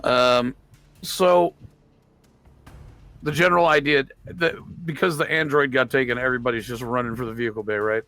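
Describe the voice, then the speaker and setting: A man's voice: mid-range voice, steady tone, normal speed, pauses often, bright, forceful tone, faint music behind. A man chatting casually as a player during a tabletop roleplaying game.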